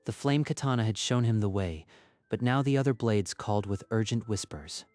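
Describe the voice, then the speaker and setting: A man's voice: on the low side, normal speed, a little mellow, no room sound. A man narrating a scripted story in a dramatic style.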